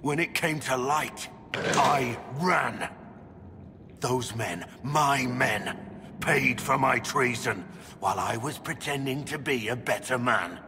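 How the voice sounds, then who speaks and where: An actor playing a gruff, guilt-ridden soldier in a video game, speaking gravely and deliberately with bitterness. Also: male voice, mid-range voice, close to an everyday voice. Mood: anguished anger. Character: guilt-ridden gruff soldier, disgraced male impostor warden. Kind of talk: dramatic video game acting. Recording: noisy game capture in reverberant hall.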